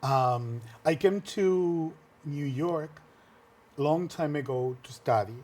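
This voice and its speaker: A man whose first language is Spanish, a filmmaker and workshop teacher, answering interview questions earnestly with frequent pauses and restarts in a TV studio. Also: on the high side, darkish tone.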